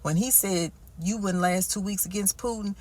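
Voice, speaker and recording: An American woman giving a casual, animated recap in slangy talk, acting out quotes colloquially as she goes. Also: medium pitch, moderate pace.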